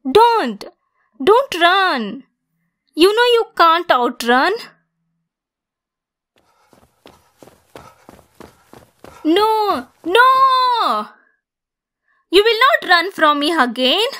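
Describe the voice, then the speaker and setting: Yandere centaur played by a woman for ASMR roleplay: female, high voice, unhurried, clingy and domineering. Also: big pitch swings, pushed and bright, full character voice. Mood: agitated, commanding. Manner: slow, deliberate, insistent, clingy.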